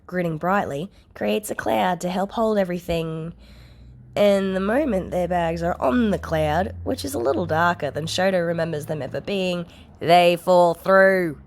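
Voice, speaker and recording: A woman reading scripted fiction aloud as a narrator. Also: fairly low pitch. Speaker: podfic narrator.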